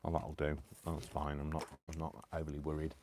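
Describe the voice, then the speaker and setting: A man hosting a live crafting stream, chatting casually and poking fun at himself, tired and clearing his throat. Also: extremely low pitch, monotone, a little fast, heavy background noise. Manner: chatty, self-deprecating, talks to himself. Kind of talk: rambling casual chat while crafting.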